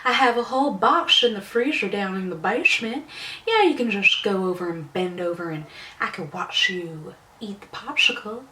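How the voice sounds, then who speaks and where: A woman voicing a creepy old male pervert with a slow southern drawl and whistling S sounds. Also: mid-range voice, lively intonation, sharp tone, roomy sound, far from the mic, a little hiss or hum, poor audio. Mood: leering. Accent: Southern US drawl, put on. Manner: leering, sly.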